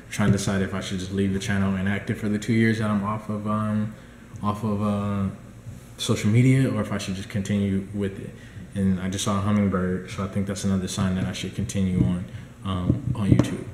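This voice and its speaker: Young guy talking low and even, lots of ums, echoey room with a little hiss. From United States. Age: young adult. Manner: relaxed, rambling, many ums. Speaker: dietitian vlogger. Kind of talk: casual vlog update. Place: small indoor room at home. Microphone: laptop or phone camera at close range.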